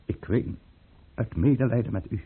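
An actor playing a calculating, deferential count in an old radio drama, speaking in a measured and grave manner. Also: male voice, mid-range voice, husky, natural voice. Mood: urgent, persuasive. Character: calculating, courtly count, a conspirator serving the tsar. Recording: muffled, narrow-band old radio recording.

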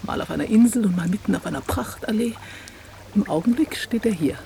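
A dreamy radio-play character with a soft-toned, dark voice at middling pitch. She is wistful and gently contrary, with faint noise behind her. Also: animated, fairly quick, gravelly. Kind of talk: German radio drama dialogue.

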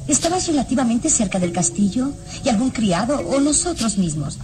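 A woman's voice: on the high side, sing-song, normal speed, music in the background. An actress in a radio drama playing a puzzled woman of a castle household. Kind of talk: classic Latin American radio drama acting.

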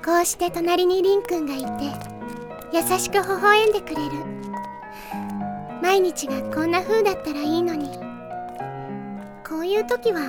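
An actress playing a sweet, devoted and bashful teenage girl in an anime audio drama, gentle and earnest. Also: very high voice, moderate pace, pauses often. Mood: wistful, tender. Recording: music behind the voice.

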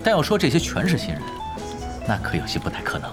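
Sly male strategist, assured, teasing, animated intonation, gaming audio drama, music behind. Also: medium pitch, fast. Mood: sly, amused. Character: sly, unruffled, knowing male game strategist.

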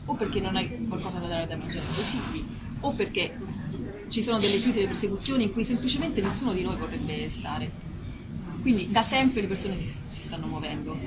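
Woman explaining, very noisy live recording. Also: on the low side. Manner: animated storytelling with acted-out quotes and rhetorical questions. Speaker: humanitarian aid worker and spokesperson. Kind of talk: spontaneous explanation at a public talk. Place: public festival talk, noisy surroundings. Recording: very noisy live event recording, background ambience.

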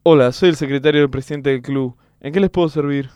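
A man's voice: a little high, steady tone, quick pace, a little mellow, smooth, no room sound, clean studio sound, close to an everyday voice. An Argentine man playing an affable, obliging club secretary and goalkeeper in a comedy radio sketch.

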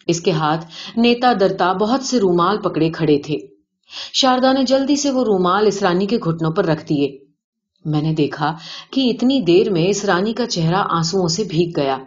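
A woman reading a literary short story aloud as scripted narration. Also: fairly low pitch. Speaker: audiobook reader of a short story. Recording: dull, lo-fi sound.

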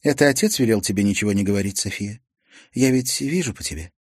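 A man voicing a young policewoman who questions a girl calmly and gently. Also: on the low side, fast, no echo, clean studio sound, voice pushed up, natural voice. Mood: coaxing. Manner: calm, coaxing questions.

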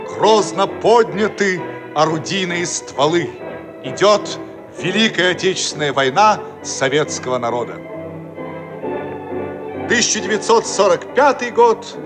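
A man narrating a radio play in a declamatory, solemn announcer manner. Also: high voice. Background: loud music.

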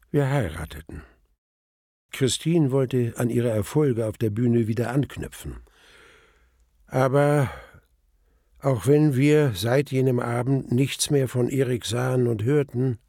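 Slow, very husky, sombre man. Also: medium pitch, warm tone, dry recording, clean studio sound. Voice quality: husky, gravelly. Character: grieving nobleman recounting his tragic marriage. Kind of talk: radio drama first-person narration.

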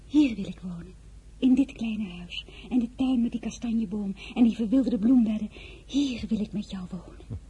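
An actress playing a tender young newlywed wife who tells her own story in a radio drama, with a husky, breathy voice. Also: fairly high pitch, normal speed, mellow, muffled recording. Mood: tender, hushed. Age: young adult. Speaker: narrator and lead character. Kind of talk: radio drama with first-person narration.

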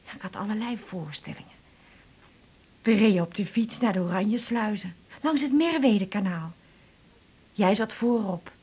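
A woman's voice: medium pitch, expressive, moderate pace, long pauses, darkish tone, breathy edge. An actress playing a hurt, chatty middle-aged mother confiding in a colloquial, rambling way, in a naturalistic radio drama. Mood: wistful, sad. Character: hurt, chatty mother back from a failed holiday.

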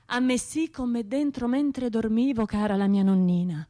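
An actress with a Tuscan accent playing a wounded, self-reproaching bombing survivor in an intimate radio drama monologue, halting and colloquial. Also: female voice, a little high, steady tone, mellow, natural voice. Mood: wistful remembering. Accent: Tuscan Italian. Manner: halting, colloquial, shifting between murmurs and outbursts.

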